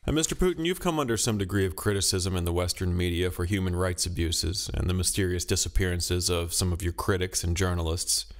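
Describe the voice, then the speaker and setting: A man's voice: deep voice, fairly quick, few pauses. A man hosting a mock news interview, formal, polite and persistent in his questioning. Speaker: host and interviewer.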